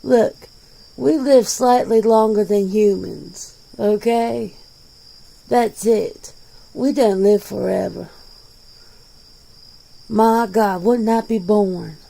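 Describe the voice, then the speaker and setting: A plain-spoken, world-weary werewolf played by a woman in an ASMR roleplay interview. The voice is soft-edged and dark with heavy fry, and it moves slowly. The delivery is casual, blunt and defensive. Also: medium pitch. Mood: exasperated. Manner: blunt, casual, swearing, conversational.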